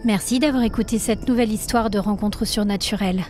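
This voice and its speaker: A woman's voice: mid-range voice, brisk, darkish tone. A woman, giving dramatic scripted narration of a supernatural story. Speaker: narrator and podcast host. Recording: music bed under narration. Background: music.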